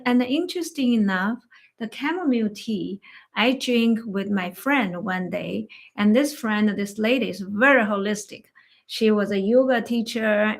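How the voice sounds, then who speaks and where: A woman acupuncturist, a non-native English speaker whose first language is Chinese, chatting in a slow, halting way about health, often telling stories about patients. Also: mid-range voice, gravelly. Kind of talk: casual health chat. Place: livestream conversation.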